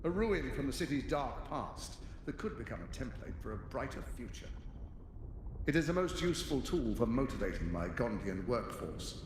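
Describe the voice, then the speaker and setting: A man's voice: medium pitch, sing-song, moderate pace, close to an everyday voice. An actor playing a smug, menacing tyrant in a video game, theatrical and condescending as he threatens. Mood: cold threat. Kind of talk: dramatic video game acting. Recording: echoey hall with long reverb, noisy.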